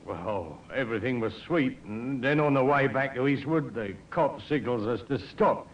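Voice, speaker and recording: An actor playing a defensive crooked mechanic in an old radio crime drama, with an English accent and colloquial, stammering speech. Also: male voice, mid-range voice, animated, moderate pace, muffled recording, natural voice. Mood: sullen confessing. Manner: colloquial, stammering under pressure. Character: defensive crooked car mechanic, blaming his partner.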